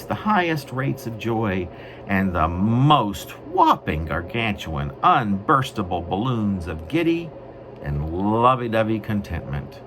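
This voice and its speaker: Low-voiced man reading poetry, widely swooping pitch. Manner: wry, mock-grandiose, deliberate phrasing. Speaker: poet reading own work. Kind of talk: literary poetry reading with offhand asides. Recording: noisy amateur recording.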